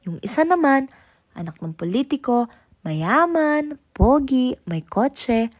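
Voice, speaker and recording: A woman's voice: high voice, animated, slow, no room sound, clean studio sound, heavily acted. A woman playing a young woman fed up with being dumped, venting sarcastically.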